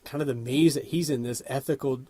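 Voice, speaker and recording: A man's voice: mid-range voice, steady tone, brisk, warm tone, slightly rough, no room sound. A man co-hosting a podcast, talking about a film in an earnest, analytical way and often summing up its themes. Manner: earnest, analytical, leads with questions and summaries. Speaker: podcast co-host. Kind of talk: casual conversational film discussion.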